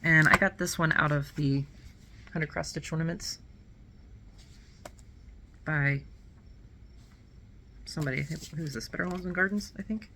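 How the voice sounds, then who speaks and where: Crafter woman, thirties; low, gravelly, cold-nasal voice; rambling home vlog with faint room hum. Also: unhurried, long pauses, pushed and bright. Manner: rambling and self-correcting, with light laughs. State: nasal, getting over a cold. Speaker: cross stitch vlogger. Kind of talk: casual chat to camera. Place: small indoor room at home.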